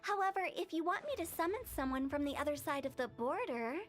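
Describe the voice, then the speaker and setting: A woman's voice: high voice, expressive, quick pace, faint music behind. A woman voicing a cheeky young female funeral director in a video game, playful, theatrical and breezy about death. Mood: playful, sly. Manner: playful, theatrical, nonchalant about morbid things. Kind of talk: video game character acting.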